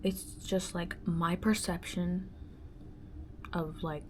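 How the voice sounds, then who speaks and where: A woman hosting her own podcast in a reflective personal monologue, musing and halting with many fillers, often dropping into a soft half-whisper. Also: on the low side, little pitch movement, a little hiss or hum. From born in the Dominican Republic, raised in the United States. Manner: gestures with her hands while talking. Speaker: solo podcast host.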